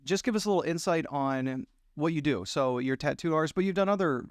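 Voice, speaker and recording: A man's voice: a little high, fast talker, smooth, no room sound. Easygoing male podcast host, dry sound. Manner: friendly, easygoing questioner. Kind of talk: casual interview chat.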